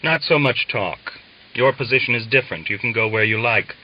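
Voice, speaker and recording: A man's voice: medium pitch, fairly quick, dull audio, close to an everyday voice. A man voicing an angry, practical Cossack family man in an audiobook, speaking bluntly and with scorn. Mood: quiet anger. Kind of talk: dramatised audiobook dialogue.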